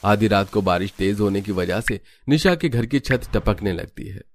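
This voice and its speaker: A man's voice: lowish voice, expressive, moderate pace, darkish tone. A man reading scripted narration for a family-drama cartoon story.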